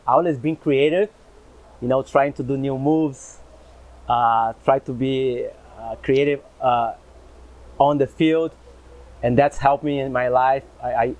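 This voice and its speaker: A man from Brazil, a football coach, answering interview questions in an upbeat way with many pauses and fillers. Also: medium pitch. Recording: slightly dull, lo-fi sound.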